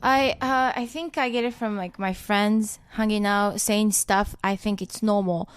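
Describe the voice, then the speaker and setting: A woman's voice: medium pitch, fairly even intonation, unhurried, clear, resonant tone, no room sound. A woman from Japan with a Japanese accent, a comedian guest chatting in an interview in short simple sentences and laughing often. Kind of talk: casual interview chat.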